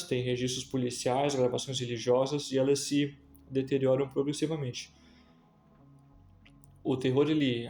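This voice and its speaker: The recording is noticeably roomy and echoing around a man's medium-pitched voice. He has a Brazilian accent and talks like a video host explaining things to viewers. His delivery is conversational and reflective, with small check-in tags at the ends of phrases. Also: darkish tone.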